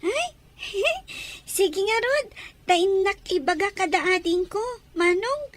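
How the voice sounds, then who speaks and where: A woman acts out a sweet, deferential young woman in an audio drama. Her voice is extremely high and girlish, and she speaks slowly and gratefully. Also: expressive, a bit bright, exaggerated voice. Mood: grateful, gentle. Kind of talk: Ilocano audio drama acting.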